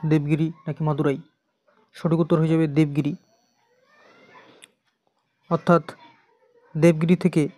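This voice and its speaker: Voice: mid-range voice, darkish tone, no echo. An exam coaching teacher with an Indian Bengali accent, reading out quiz questions and repeating the answers in an instructional way.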